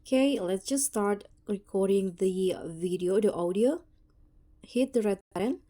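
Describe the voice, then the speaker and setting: A woman narrating a casual step-by-step app tutorial as its host, with a halting, hesitant delivery. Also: medium pitch, warm tone.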